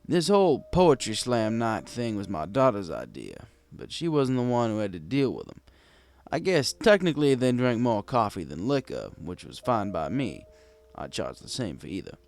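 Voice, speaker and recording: A man narrates a story in a slightly low voice with highly animated intonation. He speaks as a wry bartender, chatting conversationally to the listener as he reads. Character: wry, gruff bartender pony telling his tale. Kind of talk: scripted first-person narration of a fan fiction story.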